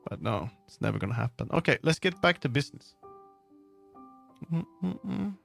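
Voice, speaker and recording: A man livestreaming, chatting casually with viewers in a rambling, halting way as he muses aloud. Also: mid-range voice, expressive, creaky, fairly bright tone. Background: background music.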